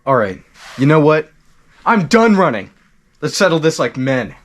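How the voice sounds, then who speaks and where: A man voicing a goofy male monster hunter in an indie horror game cutscene, slow and mock-dramatic, talking to his viewers. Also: fairly high pitch, sing-song, a little hiss or hum. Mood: deadpan.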